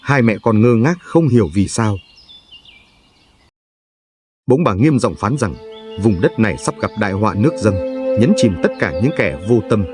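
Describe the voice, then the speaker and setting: A man with a fairly low voice tells a folk tale as dramatic scripted narration. His delivery is solemn and full of feeling, and very prominent music plays behind him. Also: lively intonation, a little fast.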